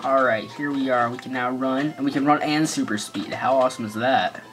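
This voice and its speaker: A man's voice: mid-range voice, fairly even intonation, a little fast, a bit bright. American man, let's play commentary, joking and rambling, faint game music behind. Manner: joking, rambling, sarcastic asides.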